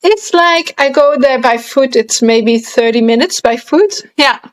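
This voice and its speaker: A woman's voice: sits high, lively intonation, measured pace. A woman in her thirties from the Netherlands with a Dutch accent, chatting casually as an interview guest and sometimes searching for English words. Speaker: guest, pole dancer and movement artist.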